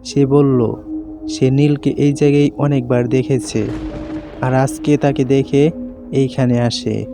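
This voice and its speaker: A man's voice: medium pitch, steady tone, slow pace, music in the background. A man narrating a scripted horror story for a cartoon.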